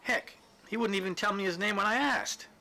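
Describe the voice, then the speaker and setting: A man's voice: sits high, brisk, bright, forceful tone, voice pushed up, exaggerated voice. A man reading a storybook role as a plain-spoken, shrewd rustic war veteran with a folksy, blunt way of talking. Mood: defensive, indignant. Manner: folksy, dialect-laden, blunt. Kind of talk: storybook character dialogue.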